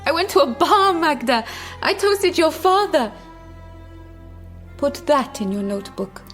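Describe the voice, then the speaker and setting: High-voiced, defiant grieving mother. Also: very animated, unhurried, a bit bright, faint music behind, heavily acted. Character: grieving, defiant East German mother yearning for freedom. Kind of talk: radio drama acting.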